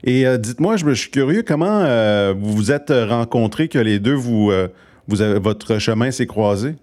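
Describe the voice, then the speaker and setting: A man's voice: mid-range voice, expressive, normal speed, a little mellow. A man hosting an interview, asking questions with many fillers. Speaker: interview host. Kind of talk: radio-style interview.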